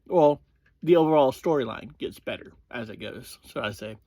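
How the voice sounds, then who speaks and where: A man hosting a review series, giving a casual, informal recap in halting phrases that often open with "well". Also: medium pitch, dark tone, slightly rough, dry recording.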